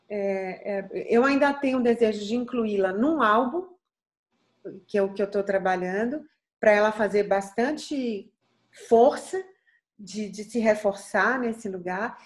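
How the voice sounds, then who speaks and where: A middle-aged Brazilian singer from Bahia with a light Bahian accent and a soft voice, speaking earnestly and reflectively in an interview, with frequent restarts. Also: female voice, medium pitch. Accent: Bahian Portuguese. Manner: thoughtful, earnest, frequent restarts and tag questions.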